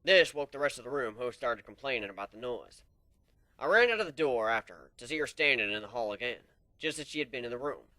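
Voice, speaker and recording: A man giving a dramatic reading of a story, hushed and suspenseful. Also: medium pitch, animated, fast talker, dry recording, poor audio. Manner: hushed and suspenseful, rising to shouts in tense moments. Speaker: reader. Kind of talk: dramatic reading of fan fiction.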